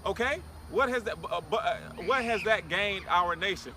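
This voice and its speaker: A man with a high, forceful voice shares in street-side preaching. He reads scripture aloud and chimes in with slangy agreement, over outdoor traffic noise. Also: normal speed. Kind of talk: reading scripture aloud and informal interjections.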